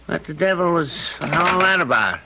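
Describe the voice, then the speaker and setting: An actor playing an irritable, suspicious husband, agitated and blustering, in a radio drama on a noisy, muffled old recording. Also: male voice, fairly high pitch, expressive, moderate pace. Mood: indignant.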